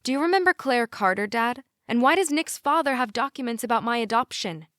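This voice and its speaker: A headstrong, indignant teenage girl played by a woman reader, pitched up from a middle register. She is challenging and emphatic, with pissy impatience, in a dry audiobook recording. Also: expressive, brisk, fairly bright tone, no room sound. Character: indignant, headstrong teenage daughter confronting her parents. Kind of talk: audiobook character dialogue.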